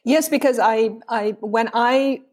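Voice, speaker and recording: A woman from Romania, a guest in a conversational interview, speaking reflectively with many pauses and restarts. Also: on the high side, darkish tone.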